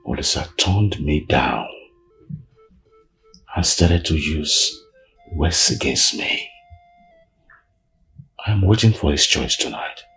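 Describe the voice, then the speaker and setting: An actor playing a proud, wrathful king and father in a film drama, speaking with slow, weighty emphasis and a gravelly voice. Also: rumbling low, fairly bright tone, full character voice, rough recording.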